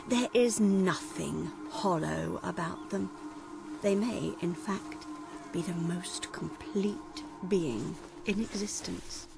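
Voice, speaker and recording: An actress playing a fanatical alchemist witch in a video game, solemn, reverent and breathy in a dramatic monologue. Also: on the low side. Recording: clear music behind the voice. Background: game soundtrack music.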